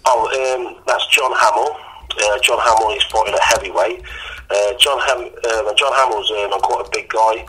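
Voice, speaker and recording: A man, an MMA coach answering interview questions, a bit croaky after training and halting with many ums. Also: on the low side, fairly even intonation, sharp tone, slight background noise, low-quality recording. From England. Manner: hesitant, full of ums and restarts.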